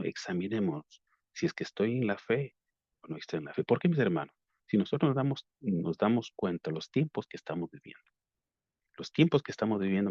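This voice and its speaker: A man's voice: low voice, darkish tone, husky. A man with an Ecuadorian Spanish accent gives an earnest devotional sermon, repeating phrases for emphasis. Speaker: lay preacher. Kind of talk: devotional sermon with prayer and scripture reading. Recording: roomy, dull lo-fi online call audio.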